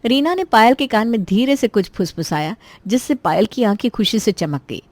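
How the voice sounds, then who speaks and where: Woman storyteller, chatty cartoon narration, highish voice, very dry recording. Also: expressive, moderate pace, a little mellow, dry recording.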